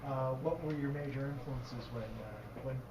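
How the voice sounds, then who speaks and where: A man's voice: medium pitch, moderate pace. A man in a bookstore audience asking the author a question, hesitant and full of uhs. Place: bookstore event. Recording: distant and roomy, noisy.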